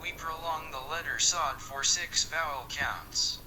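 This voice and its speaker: A man from Egypt in his early thirties, pitched a little higher than most men. He reads his answers out slowly and carefully, a Quran teacher rehearsing a job interview. Clear background noise runs under his voice. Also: bright, forceful tone. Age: early 30s. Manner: reads aloud in a slow, careful recital. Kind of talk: scripted mock job interview practice.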